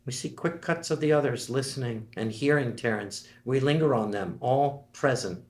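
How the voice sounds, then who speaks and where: A male voice reads stage directions at a table read in a measured, steady way. The room gives his voice a clear echo. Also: mid-range voice. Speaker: narrator reading stage directions. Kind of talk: screenplay table reading. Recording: echoey room.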